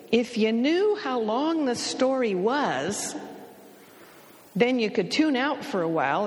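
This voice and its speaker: A woman counselor talks an audience through her advice at an unhurried, deliberate pace. Her delivery is dry and matter-of-fact, with a teasing edge aimed at the men, and her voice sits at an ordinary pitch. The sound carries the long reverb of a large hall. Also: a little hiss or hum.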